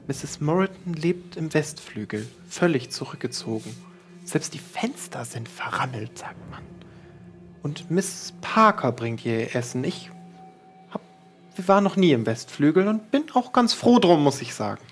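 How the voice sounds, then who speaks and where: A man's voice: a little high, lively intonation, a little slow, soft background music. A man voicing a young, obliging housemaid who talks in a polite, gossipy, hesitant manner in an audio drama. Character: young chatty, obliging housemaid Isabelle, a woman. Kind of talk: improvised pen-and-paper audio drama acting.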